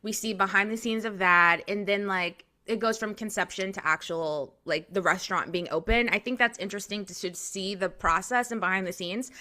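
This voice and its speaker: A woman's voice: mid-range voice, moderate pace, hardly any pauses, sharp tone. A young woman co-hosting a casual podcast, giving opinionated, chatty reality TV commentary. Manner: chatty, opinionated, restarts and fillers. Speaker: podcast co-host. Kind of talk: casual reality TV gossip chat.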